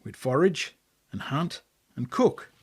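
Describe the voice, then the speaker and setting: Slow-paced Australian man telling a story. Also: fairly high pitch, lively intonation, a little mellow, slightly rough. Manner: measured, poetic phrasing with deliberate pauses. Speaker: author reading own story. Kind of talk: dramatic story narration.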